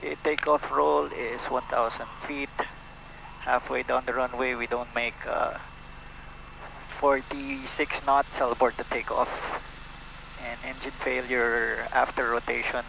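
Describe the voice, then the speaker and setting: A man's voice: medium pitch, level tone, unhurried, vocal fry. A Filipino-accented man flying a small plane, calling out checklist items and briefings in clipped, procedural phrases. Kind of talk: cockpit checklist callouts and takeoff briefing. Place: inside a small propeller plane cockpit. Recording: noisy small-plane cockpit, engine drone. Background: engine and vehicle noise.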